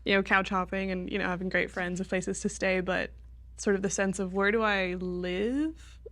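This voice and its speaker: A woman's voice: medium pitch, steady tone, moderate pace, a bit bright. Wry woman telling a story. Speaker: class participant. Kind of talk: casual personal sharing.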